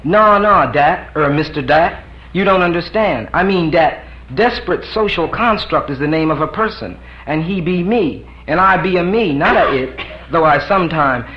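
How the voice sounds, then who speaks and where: A man's voice: a little high, lively intonation, normal speed, slight background noise, pitched above the performer's own voice, natural voice. Man reading as a defiant freed man, drawling and sly; roomy, dull recording. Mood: insistent. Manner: mocking, drawling dialect.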